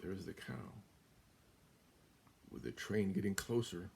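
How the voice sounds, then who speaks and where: An American man in his seventies reading a children's picture book aloud as a guest storyteller, in a deliberate, emphatic way. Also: low-pitched, sing-song, gravelly, a little mellow, slight background noise. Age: 70s. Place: small room indoors.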